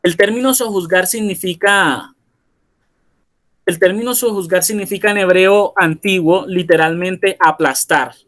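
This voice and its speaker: A man talks through an informal lecture in a fairly high voice. He hesitates often, restarts phrases and fills gaps with drawn-out filler sounds, then reads passages aloud in a steadier tone. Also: fairly bright tone. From Colombia, Barranquilla. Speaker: philosopher giving a presentation. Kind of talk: informal lecture, explaining and reading texts.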